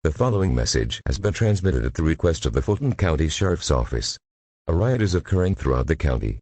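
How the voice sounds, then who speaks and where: A man's voice: rumbling low, big pitch swings, a little fast, darkish tone, breathy edge, dry recording. A man reading a scripted emergency alert in a formal, impersonal way.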